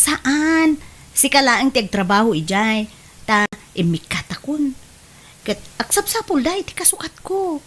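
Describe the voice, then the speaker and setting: A woman's voice: high-pitched, sing-song, unhurried, fairly bright tone, full character voice. A woman with an Ilocano Filipino accent playing a breezy, worldly young beer-house waitress and friend in an audio drama. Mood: coaxing.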